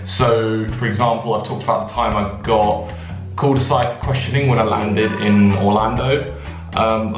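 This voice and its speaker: A young British man telling a casual story to viewers in a chatty, rambling way that often interrupts itself. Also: lowish voice. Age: young adult. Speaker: vlogger. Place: indoors in a bathtub in a bathroom. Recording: echoey bathroom with music behind.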